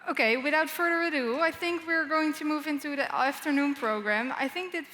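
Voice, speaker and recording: A woman's voice: high voice, brisk, pushed and bright, slight background noise. A woman moderating a conference, making upbeat announcements and rallying the audience. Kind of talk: stage announcements. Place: large conference hall. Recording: long reverb of a large hall.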